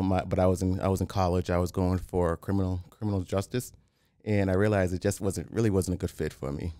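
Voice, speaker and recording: A man from Haiti, a real estate developer talking as a podcast guest in a calm, plain-spoken way, often restarting. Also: low-pitched, smooth, no room sound, studio quality. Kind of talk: casual interview.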